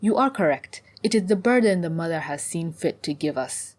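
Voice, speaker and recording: A woman voicing a cautious, diplomatic middle-aged tribeswoman in a fantasy audiobook, measured and motherly with some exasperation. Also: lowish voice, expressive, darkish tone. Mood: patient, weary.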